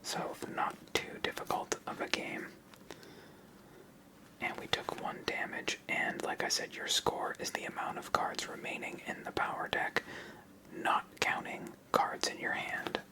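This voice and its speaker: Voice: a little slow, low-quality recording. An ASMR host speaks entirely in a whisper. The delivery is a methodical card game walkthrough, explaining each move step by step.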